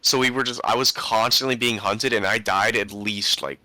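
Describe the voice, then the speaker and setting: A man's voice: fairly low pitch, fairly even intonation, normal speed, bright, forceful tone, no echo. A young man hosting a podcast, telling stories in a laid-back, rambling way with slang. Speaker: podcast host. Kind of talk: casual chat.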